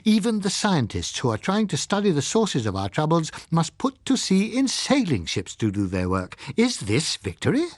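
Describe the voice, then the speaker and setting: A man's voice: a little high, wildly swooping pitch, moderate pace, hardly any pauses, dry recording, studio quality, voice pushed up. Man acting an emphatic, oratorical scientist on air. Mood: grave, indignant. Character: Bocca, emphatic male scientist giving a sombre broadcast commentary. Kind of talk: dramatised audiobook character speech.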